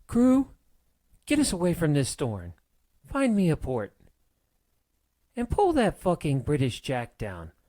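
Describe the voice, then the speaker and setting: Audiobook pirate captain, female, gruff-mannered and bossy, performed by a man; lively, raised delivery, close dry sound. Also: a little high, takes it slowly, long pauses, no echo, exaggerated voice. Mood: irate, commanding. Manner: barking, bossy, salty pirate talk. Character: commanding, foul-mouthed female pirate captain. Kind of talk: audiobook character voice.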